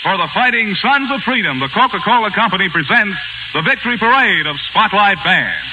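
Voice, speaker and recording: A man announcing on a 1940s radio broadcast in a booming, emphatic, showy manner. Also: lively intonation, a little fast, very noisy. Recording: 1940s radio broadcast with loud band music.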